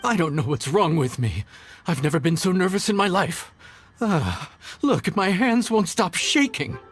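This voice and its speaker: A man's voice: high voice, big pitch swings, unhurried, fairly bright tone, breathy edge, no room sound, faint music behind, exaggerated voice. An actor voicing a young male chef who is nervous but earnest and hesitates with stammers. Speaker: chef, contestant.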